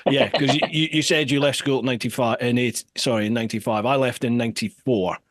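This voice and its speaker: A Scottish man in his forties hosting a podcast, telling stories in a casual, self-deprecating way with animated asides. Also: medium pitch, quick pace, no echo, studio quality. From Scotland. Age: 40s. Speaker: podcast host. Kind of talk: casual chat.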